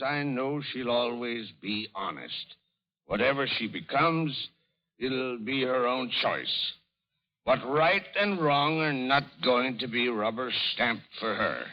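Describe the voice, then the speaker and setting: A proud, freethinking middle-aged father in a vintage radio drama. His delivery is oratorical and swings widely in pitch, over a dull, lo-fi recording. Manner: declamatory, oratorical. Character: doting, defiant, freethinking father, proud and theatrical. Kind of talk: old-time American radio drama acting.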